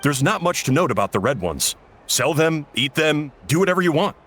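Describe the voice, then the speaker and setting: A genial village cook in a video game. His pitch is a bit high for a man and moves expressively, with a slightly theatrical way of explaining, over soft music. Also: sing-song, a little fast. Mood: cautionary. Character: genial adult male village cook, uncle, slightly dramatic. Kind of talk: English video game voice acting.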